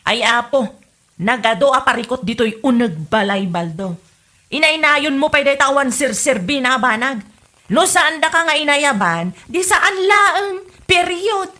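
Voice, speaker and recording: A woman's voice: high-pitched, animated, measured pace, sharp tone, heavily acted. A woman playing an exasperated, scolding wife in a comedy audio drama, with an Ilocano Filipino accent. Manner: nagging, scolding.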